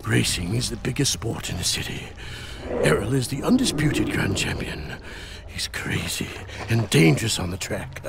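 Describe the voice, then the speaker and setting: An actor playing an obese, scheming male crime boss with a gravelly, sly voice that drawls and tags lines with a coaxing "eh?" in a video game cutscene, loud music behind. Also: mid-range voice, expressive, normal speed, noisy background. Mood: oily.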